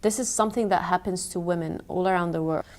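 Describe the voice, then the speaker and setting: A young woman from Palestine, a makeup artist and activist, speaking earnestly and in a measured way, with thoughtful pauses, in a documentary interview. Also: on the low side, darkish tone.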